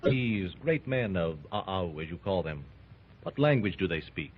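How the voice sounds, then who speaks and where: An actor playing a calm, commanding jungle hero who asks terse, steady questions in a 1930s radio drama. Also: male voice, low-pitched, measured pace. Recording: tinny old 1930s radio recording.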